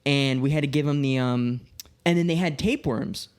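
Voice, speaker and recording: A man in his late twenties with an average-pitched voice talks loosely and informally as a podcast co-host. He tells stories in an animated way, voicing the dialogue he quotes and swearing freely. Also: moderate pace, smooth. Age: late 20s. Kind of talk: casual chat, telling personal anecdotes.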